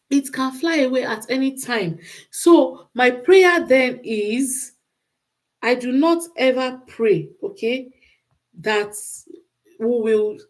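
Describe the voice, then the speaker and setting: A woman with a Nigerian accent talking off the cuff on a livestream, emphatic and repeating phrases for stress. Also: mid-range voice. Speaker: livestream host giving relationship advice. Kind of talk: unscripted livestream monologue.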